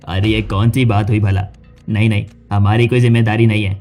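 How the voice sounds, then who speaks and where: Callous, mellow-voiced factory boss acted by a man. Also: on the low side, flat delivery, brisk, faint music behind, close to an everyday voice. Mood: dismissive. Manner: dismissive, scolding, blame-shifting. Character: callous, dismissive male factory owner.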